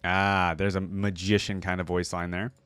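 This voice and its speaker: A man's voice: low-pitched, flat delivery, normal speed, fairly bright tone, clear, resonant tone, dry recording, faint music behind. Casual male reactor, dry close sound. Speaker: reaction video host.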